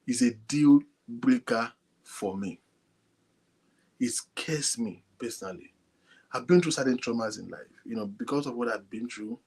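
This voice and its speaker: Man, breathy voice, soft-spoken, casual talk to an audience. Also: mid-range voice, measured pace. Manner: speaks softly, animated, addresses viewers directly. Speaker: online show host. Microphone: phone linked to a computer.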